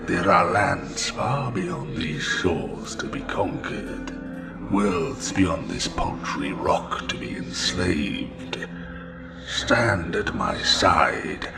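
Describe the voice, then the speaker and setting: An ominous dark spirit, low and gravelly, commands and seduces in hushed tones. It sounds distant and echoey under loud music, a domineering fantasy villain. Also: male voice, heavily acted.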